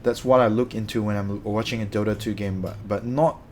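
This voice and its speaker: A man's voice: on the low side, fairly even intonation, a little fast, a little mellow, a little hiss or hum. Chatty Malaysian-born man streaming casually. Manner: rambling, self-correcting, chatty. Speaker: streamer answering chat. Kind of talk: casual live-stream chat.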